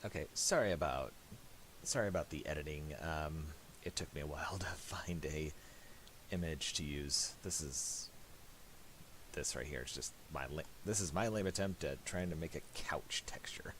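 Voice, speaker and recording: A man giving a casual, unscripted software tutorial, thinking aloud hesitantly and a little self-deprecatingly. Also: a bass voice, moderate pace. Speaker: tutorial presenter. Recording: hissy home recording with mouse clicks.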